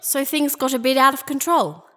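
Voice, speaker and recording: An actress playing a stubborn, breezy young princess ruler in an audio drama. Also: female voice, sits high, animated, normal speed, a bit bright, dry recording, studio quality, soft background music. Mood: breezy, determined.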